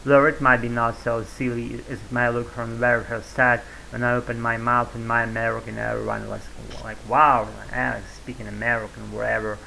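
A man's voice: medium pitch, level tone, brisk, gravelly, hardly any pauses, pushed and bright, slight background noise, low-quality recording. A man in his forties who is a native Russian speaker, talking in a rambling casual vlog to his webcam at home, full of fillers and self-corrections, with a sore throat. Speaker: vlogger. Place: small room at home, indoors. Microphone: webcam at desk.

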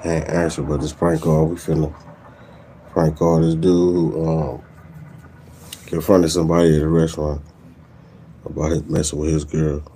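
A man's voice: extremely low pitch, little pitch movement, normal speed, long pauses, warm tone. A middle-aged American man talking as a streetwise prank caller, confronting someone and repeating himself, recorded on a phone at arm's length. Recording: noisy phone-camera recording.

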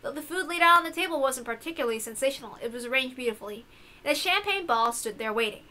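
A woman giving expressive scripted narration of a visual novel. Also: high-pitched, fast talker.

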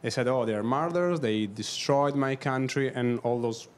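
A man's voice: medium pitch, moderate pace. A man in his thirties from Italy with an Italian accent, a photographer speaking from the audience into a handheld mic, earnest and searching for words in English. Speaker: audience member, documentary photographer. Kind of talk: audience question, storytelling. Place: auditorium seating among an audience.

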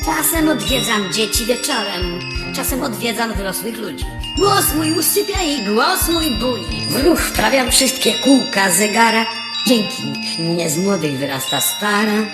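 A woman's voice: fairly high pitch, animated, a little slow. Echoing, chiming little clock gnome played by a woman. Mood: solemn, mysterious. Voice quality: delicate, bell-like little voice. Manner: sing-song rhymed incantation. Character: tiny grey-haired male clock gnome, time's solemn chiming messenger. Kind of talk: audio drama fairy-tale acting. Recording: heavily reverberant, with loud chimes. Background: music and tinkling chimes.

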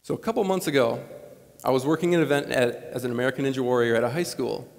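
A man's voice: mid-range voice, fast, creaky. Earnest young man speaking in a gym. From Wisconsin. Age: late 20s. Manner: earnest, conversational, animated gestures. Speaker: commencement speaker. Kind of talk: motivational commencement speech. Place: large school gymnasium, on stage. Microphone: lapel mic. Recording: long gym reverb.

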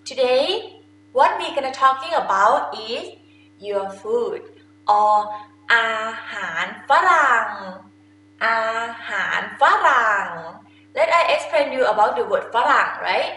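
A woman's voice: fairly high pitch, animated, a little fast, pushed and bright. A young woman with a Thai accent, a native Thai speaker, teaching a language lesson to camera in simple, informal explanations. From Thailand. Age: young adult. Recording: echoey room with faint noise.

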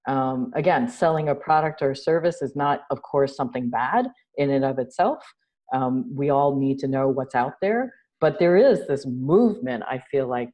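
A middle-aged woman speaks over a video call from a room at home. Her voice is deep and mellow for a woman. She tells stories in an animated way and acts out the other people in them. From New York City. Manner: animated storyteller, gestures a lot, laughs. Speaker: guest, social entrepreneur. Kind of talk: casual interview chat. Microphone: video call webcam.

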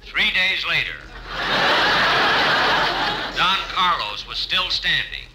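A man playing a tough, callous gold prospector in a radio comedy sketch, with a dry, deadpan delivery. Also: a little high, takes it slowly. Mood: unmoved. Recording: noisy old radio broadcast recording.

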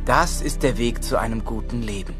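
A man voicing a worried, kindly turtle who leads a family in an animated film, earnest and hesitant. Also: medium pitch, moderate pace, lightly acted. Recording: faint music behind the voice.

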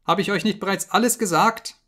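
A man reading an audiobook, voicing a terrified, emaciated male prisoner who begs and screams in a high, frantic voice. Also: fairly quick, sharp tone. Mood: frantic pleading. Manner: shrill, frantic pleading and screaming.

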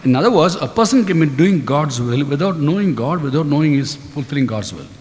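Male preacher, very slow, stop-start lines for an interpreter, stand mic, long reverb in a big tent. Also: on the high side, lively intonation, a little mellow. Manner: short phrases, pausing for the interpreter. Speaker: guest preacher. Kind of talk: sermon in English with live interpretation. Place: large open-air convention tent. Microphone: stand mic at podium.

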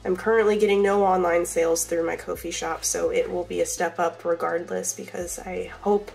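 A woman's voice: fairly low pitch, little pitch movement, moderate pace, vocal fry, darkish tone, faint music behind. Congested young Southern woman chatting. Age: young adult. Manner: chatty, rambling, many fillers. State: congested from a cold. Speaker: crochet vlogger. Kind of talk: casual vlog chat. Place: small bedroom at home.

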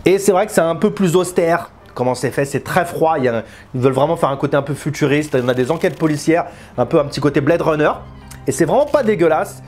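Chatty man, slightly high voice. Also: fast talker, a little mellow, faint music behind. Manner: informal, slangy, chatty, gesturing. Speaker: video game reviewer. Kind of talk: casual talk to camera, reviewing games. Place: small indoor room with bookshelves.

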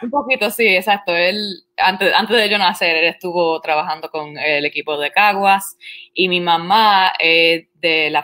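A woman's voice: sits low, pushed and bright. A woman from Puerto Rico, a journalist guest chatting in an interview, who often restarts her sentences and slips English words into her Spanish. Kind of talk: casual interview conversation.

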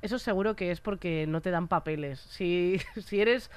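A woman's voice: fairly low pitch, moderate pace, clear, resonant tone. A young woman with a Spanish accent, co-hosting a casual podcast chat and speaking earnestly while searching for words. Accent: Spain Spanish. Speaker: podcast co-host.

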